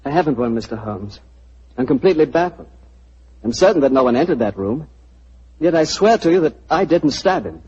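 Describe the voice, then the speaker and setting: A man's voice: on the high side, animated, a little fast, darkish tone, husky. An actor playing an earnest, anxious young man under arrest in a 1940s radio drama. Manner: earnest, pleading. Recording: muffled old radio recording.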